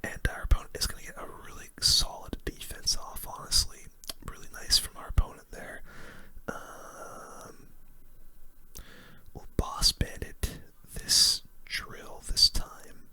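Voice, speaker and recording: Young man whispering ASMR game commentary. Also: slow pace. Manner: relaxed, informal. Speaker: gaming video creator. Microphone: close-up microphone.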